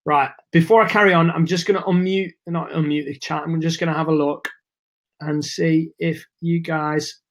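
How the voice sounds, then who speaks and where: Male webinar host, casual and chatty, voice going hoarse, slightly high-pitched. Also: fairly even intonation, moderate pace. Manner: chatty, informal, reads and answers chat messages. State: losing his voice. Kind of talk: live webinar presentation.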